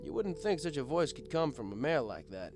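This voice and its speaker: A man's voice: mid-range voice, big pitch swings, a little fast. A man narrating a first-person story as a bartender, conversational and wry. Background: guitar music.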